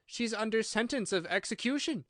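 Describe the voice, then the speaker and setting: A man voicing an anxious, timid storybook rabbit who talks in a hurried, nervous, hushed manner. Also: high voice, expressive, dry recording, clean studio sound, pitched above the performer's own voice. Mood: anxious, secretive.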